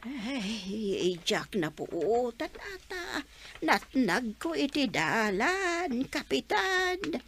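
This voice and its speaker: A woman as a very slow, creaky old Ilocano mother. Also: on the high side, big pitch swings, a bit bright, heavily acted. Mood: worried, plaintive. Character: frail, worried old village mother on a pension. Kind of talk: Filipino radio comedy drama acting.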